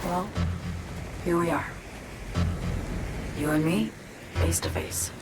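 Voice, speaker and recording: A woman's voice: fairly low pitch, expressive, slow pace. A woman playing a secretive, intense hacker in a video game, speaking in short, confiding, guarded phrases. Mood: guarded, intimate. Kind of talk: cinematic game acting, confiding dialogue. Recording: music behind the voice.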